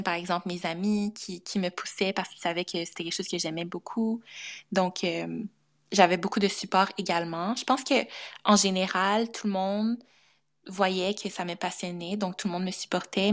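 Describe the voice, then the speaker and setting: A young woman with a Québécois French accent talks in a low, even voice with little rise and fall. She is a medical student being interviewed, and she speaks casually and thoughtfully, with frequent fillers and restarts. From Canada. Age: young adult.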